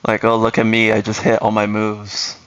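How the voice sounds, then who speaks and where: Low, bright-voiced streamer man. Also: normal speed, smooth, dull audio. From Brazil. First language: Portuguese. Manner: relaxed, chatty, explains strategy while answering chat. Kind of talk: casual live-stream chat while playing.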